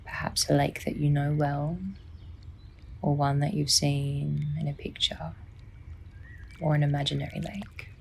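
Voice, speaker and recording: A woman softly and soothingly narrating a guided sleep meditation, unhurried, with long pauses and a breathy, near-whispering delivery. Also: deep voice, completely flat delivery, slight background noise. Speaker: psychologist guiding a sleep meditation.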